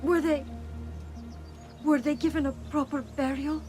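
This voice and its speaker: A woman's voice: sits high, fairly even intonation, unhurried. An actress playing an anxious, grieving young noblewoman in a video game, with an Italian accent and a pleading delivery. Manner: worried, pleading. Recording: music behind the voice.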